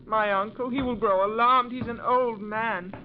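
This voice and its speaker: A woman's voice: on the high side, fairly even intonation, moderate pace, natural voice. An actress playing an innocent, frightened young woman in an old-time radio drama, wary and earnestly pleading. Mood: alarmed pleading. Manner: earnest, fearful, breathless pleading. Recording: muffled, noisy vintage radio recording.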